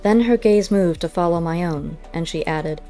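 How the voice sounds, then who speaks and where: A woman reads a first-person story in a fairly low voice with a very soft, dark tone. Her delivery is measured and literary, as an audiobook narrator. Quiet music runs behind her.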